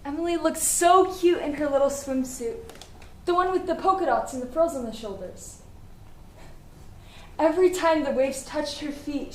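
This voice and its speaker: Casting: young actress as loving, forgetful mum, wistful diary monologue, high voice, distant in an echoey hall. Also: female voice, natural voice. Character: tender, forgetful mother devoted to her daughter. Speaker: student actor in a one-act play. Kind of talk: dramatic monologue, reading diary entries. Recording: echoey hall, distant mic, faint noise.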